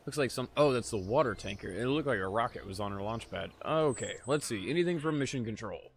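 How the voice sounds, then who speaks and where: A man live-streaming a game in casual chat, tired and a little raspy, laid-back and swearing freely while talking to viewers. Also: medium pitch, lively intonation, fairly quick, a little hiss or hum. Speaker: gaming livestreamer.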